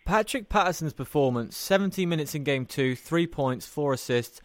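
A man's voice: a little high, lively intonation, normal speed, no echo. A man hosting a sports podcast, putting detailed, analytical questions to a guest. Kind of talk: interview questioning mixed with prepared sports commentary.

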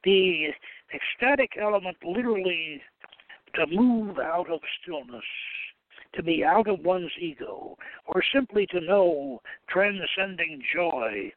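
A man hosting a radio show, reading aloud from a book with spoken asides in emphatic, deliberate phrasing. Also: high-pitched, lively intonation, no room sound, phone-quality sound.

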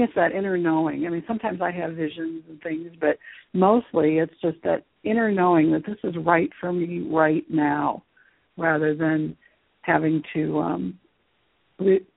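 A woman speaking as a guest teacher and author in a radio interview, explaining things in a measured way. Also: fairly low pitch, fairly even intonation, vocal fry, dry recording. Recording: muffled phone-like radio line.

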